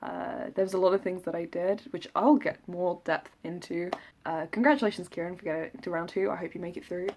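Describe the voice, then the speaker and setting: A woman's voice: on the low side, steady tone, brisk, darkish tone, a little hiss or hum. A woman in her twenties with a southern English accent, chatting casually to camera in a rambling, self-deprecating, jokey way. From south of England. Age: 20s. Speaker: vlogger recounting an audition. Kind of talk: casual rambling vlog chat.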